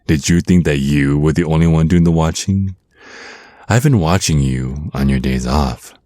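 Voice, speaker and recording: Man voicing a very deep, seductive vampire naga. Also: warm tone, no echo. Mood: smug, taunting. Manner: slow teasing menace, pet names, breathy pauses. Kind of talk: intimate ASMR roleplay acting.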